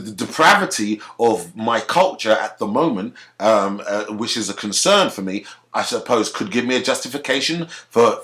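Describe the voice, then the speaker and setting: Heated British man, lively swooping voice. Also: mid-range voice. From Africa. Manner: heated, profane, repeats words as he restarts. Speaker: video commentator. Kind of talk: ranting commentary to camera.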